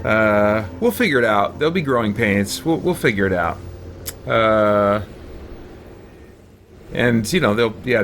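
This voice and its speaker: A man's voice: medium pitch, a bit bright, a little hiss or hum. A man chatting casually as a game streamer, thoughtful and rambling, often hesitant as he comments. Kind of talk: casual livestream chat and gameplay commentary. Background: game music.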